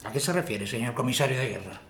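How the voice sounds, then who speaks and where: An actor playing a weary, defeatist army colonel who speaks in a sarcastic, clipped way. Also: male voice, mid-range voice, lively intonation, quick pace, a bit bright, reverberant, a little hiss or hum, lightly acted. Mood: sardonic.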